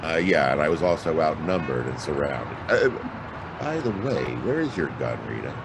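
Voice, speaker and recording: Sardonic hard-boiled detective , male actor, very low-pitched, animated wisecracker, audio drama with noisy city ambience. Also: normal speed, creaky, full character voice. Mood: sardonic, urgent. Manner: sardonic, clipped slangy wisecracks.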